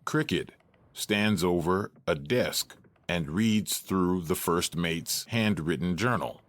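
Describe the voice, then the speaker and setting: A man's voice: deep voice, slow, fairly bright tone. A man narrating an audio drama, reading out scripted stage directions.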